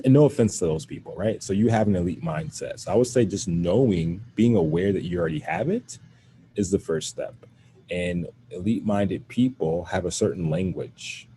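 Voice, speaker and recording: A man's voice: sits low, dark tone, slight background noise. A man who speaks with a stutter, giving a workshop talk to educators as a mental performance coach over a video call.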